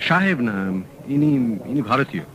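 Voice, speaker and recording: An actor playing a sharp young male private detective in a radio drama, asking brisk, probing questions. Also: fairly high pitch, very animated, crowd noise. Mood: calm, probing. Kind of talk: 1970s radio drama acting. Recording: dull old radio broadcast.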